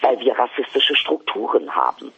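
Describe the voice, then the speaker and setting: A man's voice: mid-range voice, very animated, moderate pace, a bit bright, slightly rough, lo-fi sound. A man, a stage performer, speaking bluntly and polemically as an interview guest. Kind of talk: interview conversation.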